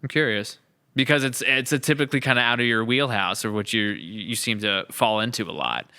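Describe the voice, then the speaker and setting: A man's voice: medium pitch, a little fast, pushed and bright, clear, resonant tone. A man hosting a casual podcast interview, talking in a wordy, thoughtful, rambling way. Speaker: podcast host and series writer.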